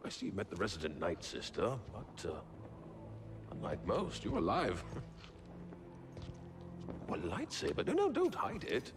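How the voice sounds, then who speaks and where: A man voicing a sly, cryptic wandering scholar who feigns harmlessness in a video game, with halting, theatrical, insinuating delivery. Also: lowish voice, wildly swooping pitch, noisy background. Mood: amused, sly. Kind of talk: video game character acting.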